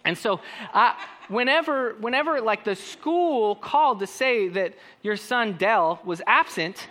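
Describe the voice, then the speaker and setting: Male pastor, naturally high voice, ringing tone, lively sermon delivery that keeps asking the room questions. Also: a little slow. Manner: animated preaching with rhetorical questions to the congregation.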